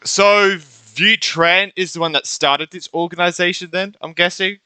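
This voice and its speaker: A man's voice: sits high, fairly even intonation, unhurried, pushed and bright, smooth, dry recording. A man giving casual gameplay commentary as a streamer, slangy and repeating himself. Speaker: game streamer. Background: game music.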